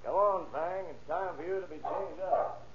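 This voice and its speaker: A husky, slightly high-voiced rural fellow. He sounds plain and friendly in a vintage radio drama, on a thin, tinny recording. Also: moderate pace. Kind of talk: old-time radio crime drama acting. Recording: tinny, band-limited old radio recording.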